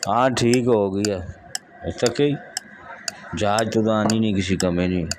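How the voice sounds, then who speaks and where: A man's voice: fairly low pitch, moderate pace, noisy background, lightly acted. A man playing an easygoing, practical villager in an amateur rural audio drama, talking matter-of-factly.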